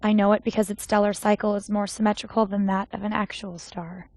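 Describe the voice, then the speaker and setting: A woman's voice: mid-range voice, little pitch movement, a little fast, darkish tone, no room sound, lightly acted. A woman voicing a melancholy female AI in an emotionless, precise game cutscene reading. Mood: detached, wistful. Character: melancholy female AI reasoning about feelings.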